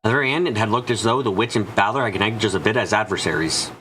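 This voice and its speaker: A man's voice: on the low side, rapid-fire, bright, forceful tone, faint music behind. A man reading scripted story narration as the narrator of a fan-dubbed visual novel.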